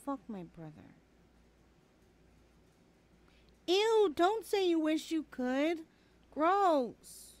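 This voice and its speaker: A woman's voice: extremely high pitch, very animated, slow pace, exaggerated voice. A woman playing a sassy, blunt twenty-one-year-old best friend in a casual, slangy audio roleplay, often sighing. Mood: annoyed, defiant. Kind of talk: conversational ASMR roleplay acting.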